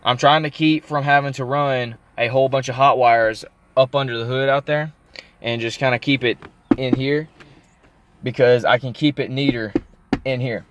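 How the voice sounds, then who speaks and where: A man's voice: mid-range voice, clean tone. A young adult man talking casually in an unscripted car vlog, explaining things in a folksy, rambling way. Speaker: car vlogger. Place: inside a parked car.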